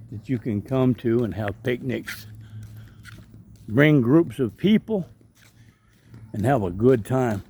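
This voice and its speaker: Rambling man with a gravelly voice. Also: medium pitch, dark tone, a little hiss or hum. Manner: rambling, chatty, with frequent ums and asides. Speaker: vlogger and street evangelist. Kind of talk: casual walking vlog narration. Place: outdoor park by a waterway.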